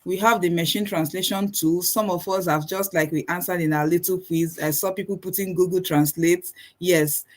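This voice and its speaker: A woman with a Nigerian accent, a native Igbo speaker, delivers a technical webinar talk at a middle pitch. She works through her points methodically, ticking off items one after another and pausing to restart phrases. Her voice comes through a video call microphone. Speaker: webinar presenter. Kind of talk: technical lecture.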